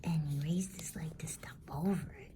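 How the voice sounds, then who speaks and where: A woman reading a bedtime story as ASMR in a hushed, unhurried whisper. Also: low voice, steady tone, noisy background.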